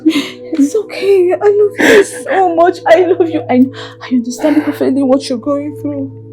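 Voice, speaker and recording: An actress playing a fierce but loving older sister who scolds and pleads emotionally. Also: female voice, sits high, steady tone, normal speed, dark tone, faint music behind. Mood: tearful pleading.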